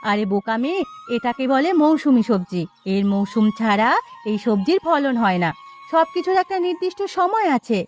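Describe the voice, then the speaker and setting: Woman playing a high-voiced chiding village mother. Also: animated, normal speed, darkish tone. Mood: explaining. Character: brisk, scolding but caring village mother. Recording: music under the voice.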